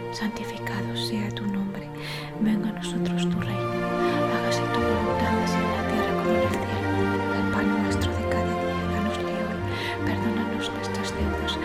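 A woman's voice: mid-range voice, lightly acted. A woman playing someone solemnly reciting a prayer in an audio drama. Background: loud music.

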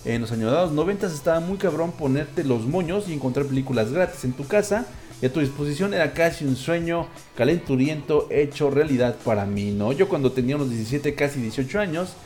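A man's voice: a little high, lively intonation, a little fast, a little mellow, soft background music. A man with a Mexican accent hosting a casual online show, chatting and reading listeners' messages in crude, jokey slang. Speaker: host of a live listener-call-in style online show.

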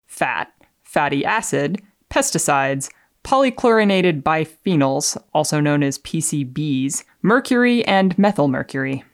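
A woman's voice: sits low, animated, a little slow, dry recording. Female science podcast guest, careful diction on technical readings, conversational when explaining, dry close recording.

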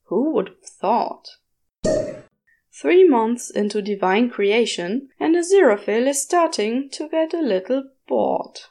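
A woman's voice: a little high, vocal fry, darkish tone. A woman reading a story aloud as scripted audiobook-style narration. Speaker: podfic narrator. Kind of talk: scripted narration of fan fiction.

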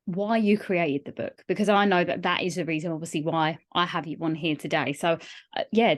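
Upbeat woman podcast host, low-pitched. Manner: upbeat and encouraging, lots of fillers. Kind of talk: casual interview chat.

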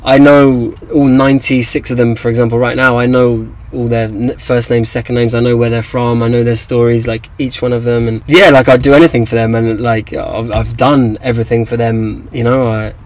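Young English man, halting casual talk. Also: medium pitch, little pitch movement, rough recording. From England. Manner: hesitant, many fillers and restarts. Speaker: guest, activist.

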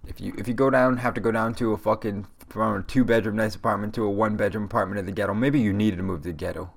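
A young man with a very low, gravelly voice talks through a stuffy nose from a cold. He rambles along in a casual solo podcast rant, swearing constantly as he gives motivational talk. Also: steady tone, fast talker. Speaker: podcast host.

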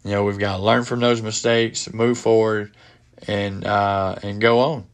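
A middle-aged man with a head cold hosting a casual solo podcast from his home office, speaking haltingly with many fillers and restarts. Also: fairly low pitch, little pitch movement. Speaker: podcast host.

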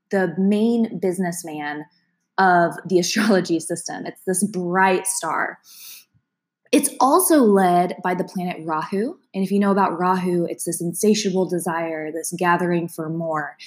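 A woman with a cold, sounding congested, giving a casual, chatty astrology forecast to her viewers and laughing now and then. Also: fairly low pitch. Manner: chatty, gentle, laughs between sentences. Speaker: astrology vlogger. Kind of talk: casual talk to camera.

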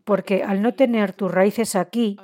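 Mellow-voiced woman reading a voice-over translation. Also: medium pitch, fairly even intonation, measured pace. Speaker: voice-over interpreter.

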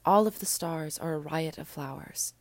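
A woman reading an audiobook, voicing a gentle, grave little boy prince who speaks softly and often in a whisper. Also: deep voice, moderate pace, creaky, no room sound. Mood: wistful. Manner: soft, wistful, often whispered.

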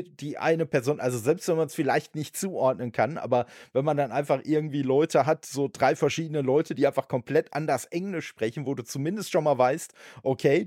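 A male podcast host in a casual interview, speaking hesitantly with frequent restarts and soft affirmations. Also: medium pitch, no echo, studio quality.

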